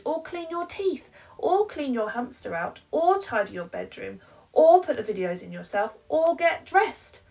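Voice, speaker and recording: A woman's voice: a little high, gravelly, far from the mic, voice pushed up, rough recording. A woman voicing an exasperated, pleading mum from a children's picture book, piling up promises emphatically to bargain with her child. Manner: breathless piled-up promises, emphatic.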